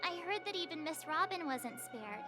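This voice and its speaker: Very high-pitched, girlish game heroine. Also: lively intonation, moderate pace. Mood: teasing, chatty. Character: cute, earnest, chatty young girl adventurer. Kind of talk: scripted video game voice acting. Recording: game music behind the voice.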